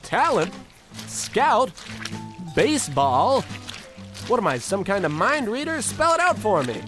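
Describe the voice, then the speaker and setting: A man's voice: sits high, big pitch swings, measured pace, fairly bright tone, soft background music, exaggerated voice. A man playing a pompous, self-absorbed, insulting adventurer in an old-time radio comedy. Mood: smug. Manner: pompous, bossy, theatrical.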